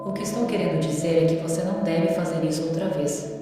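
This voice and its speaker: A woman's voice: deep voice, fast, very echoey, long reverb tail, recorded from a distance, lightly acted. A woman voicing a stern, menacing male creature of authority that lectures in a cold, threatening way, with music underneath. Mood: scolding. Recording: music bed behind voice.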